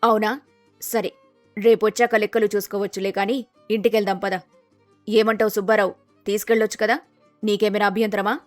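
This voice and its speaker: Woman voicing a sharp, domineering mother-in-law. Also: medium pitch, little pitch movement, brisk, pauses often, no room sound, soft background music, lightly acted. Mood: suspicious, demanding. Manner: sharp, accusing, demanding. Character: domineering, suspicious, scolding middle-aged mother-in-law.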